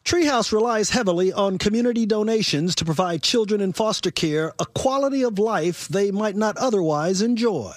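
High-pitched male radio news reporter. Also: moderate pace, hardly any pauses, dry recording. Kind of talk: scripted news report narration.

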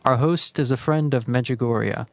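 A man's voice: medium pitch, normal speed, faint music behind. A man giving a formal, scripted introduction as a radio announcer.

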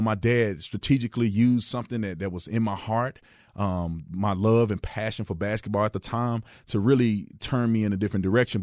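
A man from Texas in his thirties talks through his own radio show in an easygoing, rambling way, often restarting his sentences. His voice sits fairly low, and the studio sound is close and dry. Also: dry recording. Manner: relaxed, chatty storytelling with frequent restarts. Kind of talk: casual radio monologue.

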